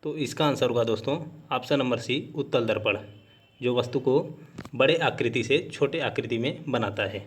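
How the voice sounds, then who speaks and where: A man's voice: medium pitch, moderate pace, breathy edge. A man teaching an exam-prep lesson, methodically reading out questions and then giving each answer.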